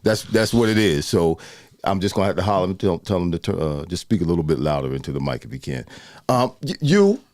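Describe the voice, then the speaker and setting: A man in his fifties hosting a casual studio interview, loud, playful and talkative. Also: low-pitched, sing-song, fast, no echo. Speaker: podcast host. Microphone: studio mic.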